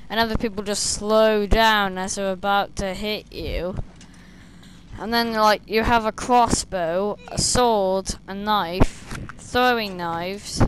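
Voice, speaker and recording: A young woman, slightly hoarse and losing her voice, chatting and rambling as she plays a stealth game at her desk, talking to the enemies on screen. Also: medium pitch, fairly bright tone, a little hiss or hum, poor audio. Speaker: gameplay streamer. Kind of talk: casual gameplay commentary. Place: small room indoors, seated at a desk.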